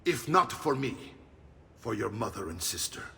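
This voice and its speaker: An animated Italian uncle, gruff and forceful. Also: male voice, lowish voice, moderate pace, distant mic, exaggerated voice. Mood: firm, instructive. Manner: avuncular, expansive, sprinkles in Italian words. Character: gruff, jovial middle-aged Italian uncle, mercenary leader. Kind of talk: video game voice acting.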